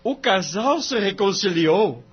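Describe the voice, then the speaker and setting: Guy playing honest young brazilian lawyer, high voice, polite and earnest, audio drama, dull sound w music behind. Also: animated, slow. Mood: cheerful, polite. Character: earnest, upright young male lawyer, principled and humble.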